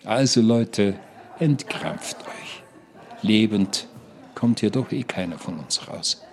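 A man's voice: fairly low pitch, expressive, slow pace, soft background music. A man playing a darkly cheerful care-home inmate in a radio play, coaxing his companions with rhetorical questions. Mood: emphatic, reassuring. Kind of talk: radio play monologue and dialogue.

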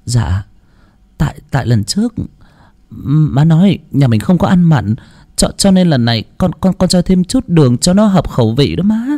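A man's voice: a little high, big pitch swings, normal speed, dark tone, pitched above the performer's own voice. A man voicing a timid young daughter-in-law who stammers and repeats her words. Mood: meek stammer.

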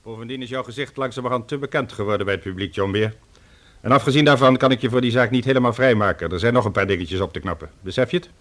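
A man's voice: mid-range voice, fast, a bit bright, lightly acted. An actor in a Dutch radio play playing a businesslike, commanding police chief giving directives to his subordinates.

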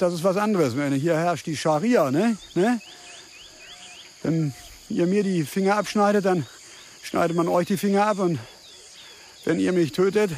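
An actor playing a desperate scam victim in a radio play, agitated and rambling with halting repetitions. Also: male voice, sits high, a little hiss or hum. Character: desperate German scam victim, pleading and babbling in the bush.

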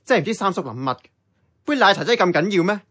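A man's voice: high-pitched, lively intonation, fast talker, noisy background. An actor with a Hong Kong Cantonese accent playing a casual, blunt young man in radio drama dialogue. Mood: blunt, exasperated.

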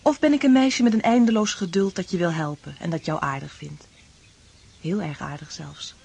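An actress playing an affectionate, playful young lodger in a radio drama, coaxing and direct. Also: female voice, lowish voice, moderate pace, gravelly, a little mellow, breathy edge. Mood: tender, coaxing. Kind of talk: Dutch radio drama acting.

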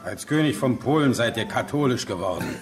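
A man's voice: mid-range voice, animated, a little fast, over music, natural voice. An actor in a German radio play playing an irascible, pious old Prussian king who curses and blusters imperiously. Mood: grumbling.

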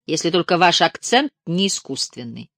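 A shrewd middle-aged agent sizing up a newcomer, cool and businesslike, as a woman narrator plays him. The voice stays fairly low and close, with no room sound at all. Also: sing-song, moderate pace, fairly bright tone, no room sound, heavily acted.

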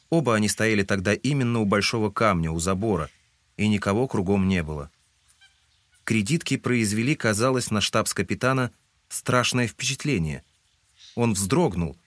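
A man acts as a composed storyteller narrating classic literature. His voice is on the low side, with a measured, formal delivery. The sound is very dry. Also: dry recording, close to an everyday voice. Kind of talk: scripted narration of classic novel.

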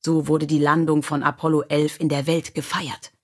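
A woman's voice: low-pitched, flat delivery, normal speed. A woman narrating scripted biographical stories in a storybook style. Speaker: audiobook narrator.

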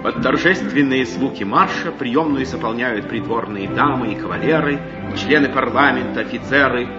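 A dull old broadcast with loud music carries a male narrator of medium pitch. He reads the stage action of a play in a measured, formal manner. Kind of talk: scripted narration for a recorded play.

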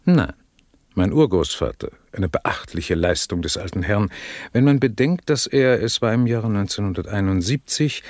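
An actor playing a pompous, self-satisfied drug tycoon being interviewed in a satirical radio play, speaking in a stilted, haughty, formal way. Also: male voice, on the low side, darkish tone, no room sound, close to an everyday voice. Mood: proud.

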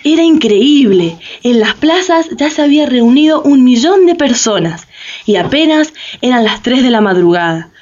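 A woman's voice: on the high side, darkish tone. An actress playing an amazed woman journalist, giving dramatised narration in a radio play. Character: amazed woman journalist recalling a mass protest. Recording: slightly dull, muffled recording.

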